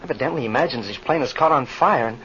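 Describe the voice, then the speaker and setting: An American actor playing an anxious, earnest young student pilot in a vintage radio serial, sincere and boyishly polite. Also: male voice, medium pitch, expressive, quick pace, a bit bright, natural voice. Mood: worried. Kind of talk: 1930s radio serial acting. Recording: muffled, noisy old radio recording.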